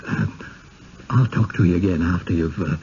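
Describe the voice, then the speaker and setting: An actor playing a timid, humble small-town villager in a 1970s radio drama, halting and folksy, as if confiding. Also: male voice, sits low, a little mellow. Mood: nervous, secretive. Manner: halting, rustic folksy speech. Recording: muffled, hissy 1970s radio broadcast.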